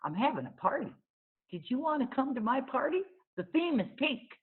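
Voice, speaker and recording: Woman playing an eager high-voiced little five-year-old girl. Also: very animated, normal speed, breathy edge, phone-quality sound, full character voice. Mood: eager, matter-of-fact. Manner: childish rural grammar, blunt and eager. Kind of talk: storybook read-aloud character.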